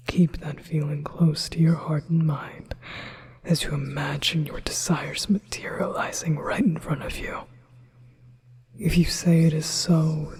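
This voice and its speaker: A man's voice: a little high, darkish tone, husky, full character voice. A man whispering as a possessive yandere demon. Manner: slow soothing whisper. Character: possessive manipulative male demon offering a contract. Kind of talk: ASMR hypnosis roleplay.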